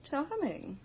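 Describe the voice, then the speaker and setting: An actress playing a gushing, amused high-society lady, affected and drawling, in a radio drama. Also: full character voice. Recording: muffled 1980s radio drama recording.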